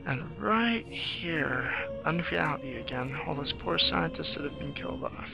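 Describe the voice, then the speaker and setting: A man improvising playthrough commentary on a video game, thinking aloud in a hesitant, halting way with a gravelly voice. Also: a little high, lively intonation, muffled recording. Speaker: screenwriter doing game commentary. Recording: game music behind the voice. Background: video game music.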